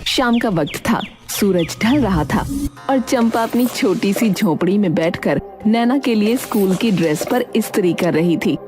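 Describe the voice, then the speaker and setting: Female storyteller for scripted narration, warm dark timbre, light music bed. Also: mid-range voice, lively intonation, unhurried.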